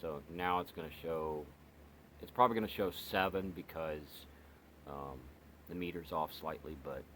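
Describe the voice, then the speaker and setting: A man's voice: extremely low pitch, fairly even intonation, normal speed, fairly bright tone, a little hiss or hum. A man rambling through a casual radio review, full of fillers and restarts. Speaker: radio reviewer, channel host. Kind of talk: casual product review vlog.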